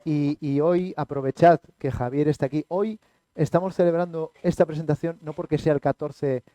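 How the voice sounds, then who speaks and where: A man presenting a book event, speaking in a measured, explanatory way. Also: a little high, steady tone, dark tone, dry recording. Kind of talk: conversational discussion.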